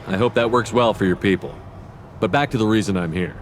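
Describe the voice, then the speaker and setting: A man playing a calm, direct human military commander in a video game, matter-of-fact and inquisitive. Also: lowish voice, fairly quick, a little hiss or hum, close to an everyday voice. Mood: businesslike.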